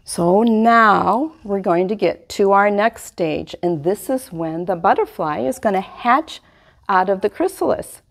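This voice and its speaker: Middle-aged woman, kids' science lesson, lively swooping pitch, gravelly edge. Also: unhurried. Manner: animated, speaks to young kids. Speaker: extension master gardener presenter. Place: small indoor room before a wall display.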